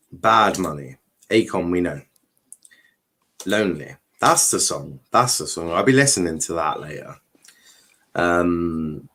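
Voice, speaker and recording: A man's voice: deep voice, a bit bright. A man hosting a livestream watchalong, giving casual race commentary with informal, sweary, joking asides.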